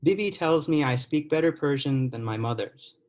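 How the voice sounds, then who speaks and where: A young man in his twenties giving a poetry reading in a measured, deliberate way, his voice falling softly at the ends of lines. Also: a little high, animated. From United States. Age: 20s. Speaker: poet. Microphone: video call.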